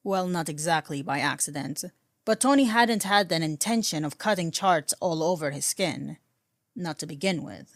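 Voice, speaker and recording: A woman's voice: sits low, expressive, moderate pace, no room sound, studio quality. A woman reading fan fiction aloud as a narrator, in a scripted storytelling style.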